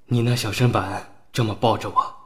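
A man's voice: fairly low pitch, steady tone, moderate pace, a bit bright, slightly rough. An actor playing an easygoing, placating male investigator who explains things patiently in an audio drama. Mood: flustered.

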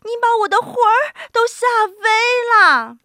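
Female performer as a skinny old woman, storybook, shrill, high-pitched, excitable, dramatic, wide intonation. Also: measured pace, dry recording, full character voice. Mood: terrified.

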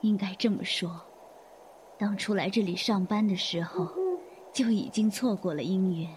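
A woman acting in a Chinese audio drama as a gracious, weary middle-aged hotel manager, soft-spoken, polite and unhurried. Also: medium pitch, background noise, natural voice. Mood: reflective.

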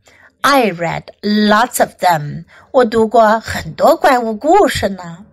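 A woman's voice: medium pitch, expressive, takes it slowly, no echo, clean studio sound, pitched below the performer's own voice. A woman voicing an affectionate, bossy storybook mother with a motherly, emphatic way of talking. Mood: affectionate, matter-of-fact.

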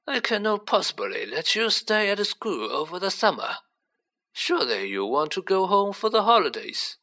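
High, feeble old headmaster wizard. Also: male voice, very animated, sharp tone, exaggerated voice. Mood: kindly, curious. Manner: slow, kindly, sighing.

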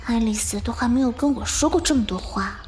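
A woman's voice: a little high, quick pace, a little mellow, a little hiss or hum, lightly acted. A woman playing a sweet, needy young girl in an audio drama, in a cute, plaintive manner. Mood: pouty, wistful. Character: sweet, needy young girl devoted to her female companion. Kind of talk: Chinese audio drama acting. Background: music.

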